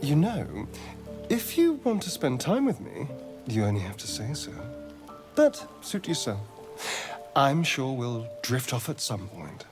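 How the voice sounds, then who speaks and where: A man's voice: medium pitch, big pitch swings, measured pace, music in the background. An actor voicing a suave, sardonic male vampire magistrate in a video game, with an English accent and an arch, theatrical, evasive manner. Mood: weary, teasing. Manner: arch, drawling, theatrical delivery. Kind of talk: video game character acting.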